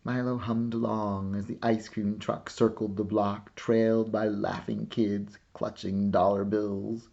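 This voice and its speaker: A man narrating a children's picture book with measured, deliberate storytelling. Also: lowish voice, level tone, gravelly, warm tone, lo-fi sound. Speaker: storybook reader.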